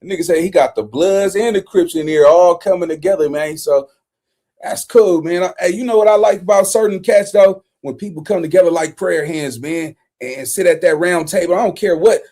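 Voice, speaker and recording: A man doing casual street-talk commentary as a streamer, rambling and slangy in an animated way. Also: sits high, brisk.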